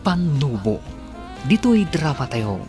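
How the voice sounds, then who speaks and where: A man's voice: a little high, very animated, takes it slowly. A man with an Ilocano accent delivering scripted, dramatic narration as the announcer of a radio drama. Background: guitar music.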